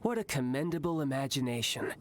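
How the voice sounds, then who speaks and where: Guy doing a cocky young anime game fighter, showy and condescending, very animated pitch, noisy behind. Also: brisk, close to an everyday voice. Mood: smug menace. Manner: theatrical, smug. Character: cocky young dandy fighter, theatrically condescending, doting brother.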